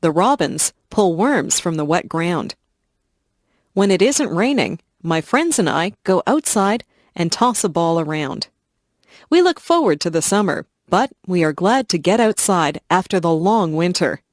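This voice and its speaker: A woman slowly and clearly reading simple first-person stories for English learners. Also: fairly low pitch, expressive, no room sound, clean studio sound. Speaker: reader of English listening stories. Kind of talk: slow scripted reading for learners.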